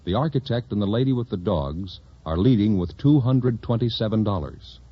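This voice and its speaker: A man announces quiz scores in old radio style. His medium voice is husky and lively in pitch. The recording is dull and vintage. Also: normal speed. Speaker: show announcer.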